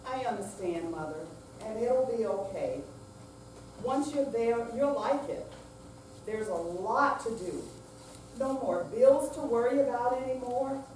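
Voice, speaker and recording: Woman acting high-pitched, insistent grown daughter. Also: unhurried, darkish tone, close to an everyday voice. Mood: coaxing. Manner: earnest, coaxing, exasperated. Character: adult daughter, insistent, coaxing, caring toward ailing mother. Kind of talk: staged play reading. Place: senior center room, live staged reading. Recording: very echoey hall, distant mic, noisy.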